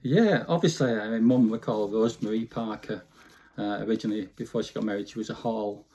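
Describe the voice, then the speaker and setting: A man's voice: lowish voice, a little fast, warm tone. A man in his fifties with a Bolton, Lancashire accent, reminiscing casually and informally in an interview, often adding "you know". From Bolton, Lancashire, England. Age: 50s. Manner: chatty, informal, frequent "you know" tags. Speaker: interview guest.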